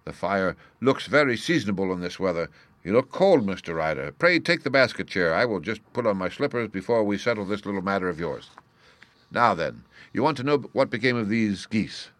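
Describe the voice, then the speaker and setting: A man's voice: on the low side, sing-song, fairly quick, fairly bright tone, no room sound. Storybook role: shrewd, confident detective, played by a man, genteel, precise, commanding, dry recording. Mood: brisk, genial.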